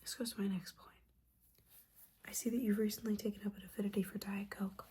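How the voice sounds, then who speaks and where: A woman playing a disappointed, judgmental but caring witch mentor in a whispered, softly spoken ASMR roleplay, scolding with sassy, casual asides. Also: mid-range voice, flat delivery, a little fast, a little hiss or hum, lightly acted.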